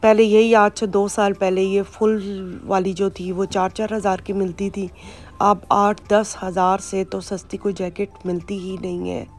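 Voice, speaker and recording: A woman's voice: medium pitch, little pitch movement, measured pace, a little mellow, faint music behind. Chatty woman giving a supermarket tour. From Pakistan. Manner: chatty, explaining prices and products. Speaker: vlogger. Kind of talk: casual vlog narration. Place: supermarket, indoors.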